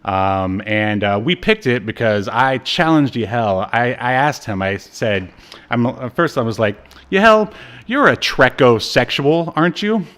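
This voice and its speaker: A man's voice: medium pitch, animated, measured pace, a bit bright. Casual, joking man hosting a podcast. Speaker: podcast host. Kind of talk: casual chat about obscure media.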